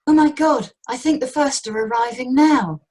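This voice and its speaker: A woman acting a brisk, fussing British chairman's wife in an amateur radio play, wry and a little bossy. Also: high voice, a little mellow. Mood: flustered. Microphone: video call.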